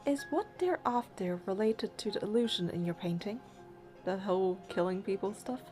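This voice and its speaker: A woman acts as a young heroine in a story game, practical and skeptical. Her voice is dark and mellow with a dry, matter-of-fact delivery, over faint music. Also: medium pitch, expressive, normal speed, close to an everyday voice. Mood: measured, curious. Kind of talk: English-dubbed game acting with first-person narration.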